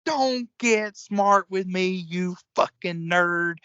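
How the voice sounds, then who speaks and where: A man's voice: sits high, takes it slowly, pushed and bright, smooth, dry recording, highs cut off, full character voice. A man acting in a comedic audio play as a crude, hot-tempered old-school wrestler, folksy and profane. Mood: blustering.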